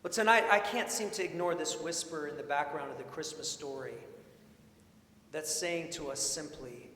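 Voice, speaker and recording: Echoey, higher-voiced man preaching in church. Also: normal speed. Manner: informal, expressive, repeats questions for emphasis. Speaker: preacher, priest. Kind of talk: sermon, conversational storytelling and rhetorical questions. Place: large indoor church sanctuary. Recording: echoey church hall, long reverb, faint noise.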